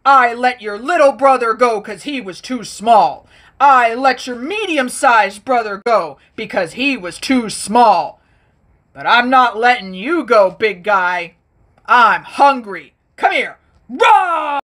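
A woman's voice: on the high side, animated, takes it slowly, fairly bright tone, full character voice. A woman voicing a hungry, boastful male troll who guards a bridge, roaring and blustering his threats.

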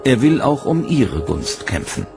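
A man reading a serious, measured documentary narration. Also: mid-range voice, sing-song, vocal fry. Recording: narration over loud music.

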